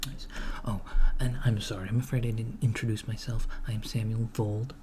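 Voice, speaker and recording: A man in an ASMR roleplay playing a mild-mannered corporate recruiter of henchmen, soft-spoken, hesitant and politely matter-of-fact. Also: lowish voice, flat delivery, moderate pace, background noise, close to an everyday voice. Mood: polite, businesslike. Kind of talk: ASMR roleplay, job interview.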